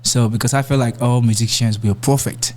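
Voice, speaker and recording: Young nigerian guy being interviewed on a podcast, casual, kinda halting, medium pitch. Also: steady tone, darkish tone, a little hiss or hum. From born in Nigeria, raised in Dallas. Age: young adult.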